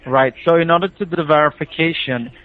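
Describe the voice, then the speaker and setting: A man's voice: a little high, quick pace. A man with an Indian accent talking over the phone as a caller, explaining a procedure in an insistent, repetitive, persuasive way. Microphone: telephone call. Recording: tinny, band-limited phone line.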